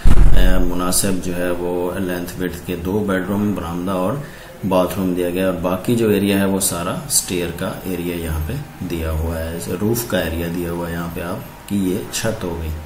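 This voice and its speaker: A man from Pakistan walking through floor plans in a steady, explanatory voice-over, pointing out rooms one by one. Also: low voice, moderate pace, noisy background. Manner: steady explanatory, repeating "ye dekhen" while pointing. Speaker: architect presenting house plans. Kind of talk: walkthrough narration of floor plans. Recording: roomy echo, faint ambience.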